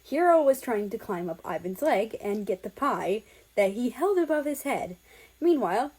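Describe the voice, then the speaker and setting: A woman's voice: fairly high pitch, darkish tone, low-quality recording. A woman reading fan fiction aloud as an amateur audiobook-style narrator, telling it in the first person.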